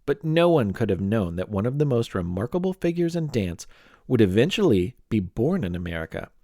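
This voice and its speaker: A man's voice: mid-range voice, very animated, brisk, warm tone, no room sound, clean studio sound. A man narrating scripted history as a podcast host, with playful, wry asides.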